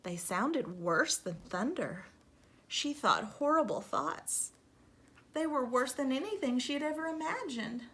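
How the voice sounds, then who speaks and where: Lady teacher reading a picture book to kids, slightly high bright voice, expressive and emphatic. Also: measured pace, husky. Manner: emphatic, addresses young children directly. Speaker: teacher reading aloud to pupils. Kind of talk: expressive children's storybook narration.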